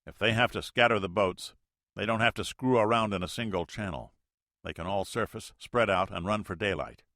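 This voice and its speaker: A man playing a blunt, gruff submarine captain. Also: on the low side, a bit bright, no echo, clean studio sound. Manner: blunt, profane, measured.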